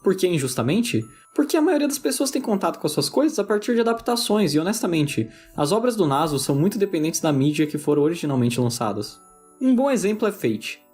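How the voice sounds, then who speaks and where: A man's voice: high-pitched, sing-song, a little fast, a little mellow, husky, faint music behind. A man with a Brazilian accent narrating a scripted video essay with informal asides.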